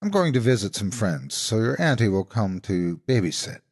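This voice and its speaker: Man as a practical, husky-voiced mother. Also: fairly low pitch, very animated, moderate pace. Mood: reassuring. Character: calm, practical mother of two boys.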